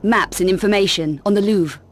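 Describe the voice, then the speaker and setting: A woman's voice: mid-range voice, brisk, lightly acted. A woman playing a brash, cool adventurer heroine in a video game, with a curt, sardonic and defiant manner. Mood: businesslike, guarded. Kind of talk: video game cut-scene acting.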